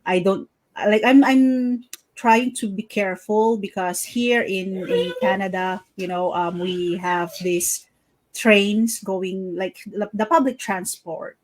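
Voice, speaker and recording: A woman's voice: medium pitch, slow, poor audio. Upbeat, chatty woman hosting a live show. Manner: upbeat, chatty, repeats greetings and praise. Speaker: host of a live interview series. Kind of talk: casual live-stream interview.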